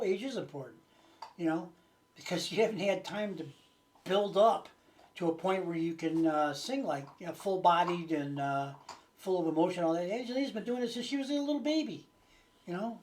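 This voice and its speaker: A man's voice: sits high, darkish tone. Rambling, folksy man in his late sixties. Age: late 60s. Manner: rambling, folksy, hesitant phrasing. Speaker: video channel host. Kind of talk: casual unscripted chat to viewers.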